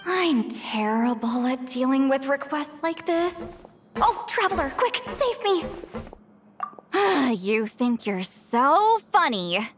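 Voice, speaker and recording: A woman voicing an excitable, expressive young female character in a video game. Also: high voice, takes it slowly, a little hiss or hum, exaggerated voice. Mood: exasperated. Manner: expressive, exclamatory. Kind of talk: animated video game voice acting. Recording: music under the voice.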